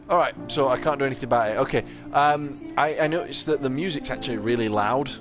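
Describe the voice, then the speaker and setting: A man with a South Yorkshire accent giving casual, rambling gameplay commentary, often correcting himself mid-sentence. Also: medium pitch. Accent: South Yorkshire English. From England. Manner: rambling, chatty, self-correcting, laughs often. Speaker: gaming channel host. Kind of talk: casual let's-play commentary. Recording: game music faintly behind.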